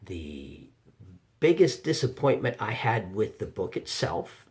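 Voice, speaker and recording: Male reviewer, informal and emphatic, slow pacing with dramatic pauses, very animated intonation. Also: lowish voice. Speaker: audiobook reviewer. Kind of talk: informal spoken video review. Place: home office.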